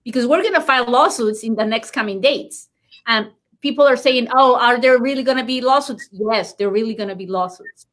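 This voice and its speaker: A woman's voice: a little high, a little fast, a bit bright. A woman immigration lawyer, a native Spanish speaker with a Spanish accent, explaining legal news in an interview emphatically and reassuringly, repeating key points.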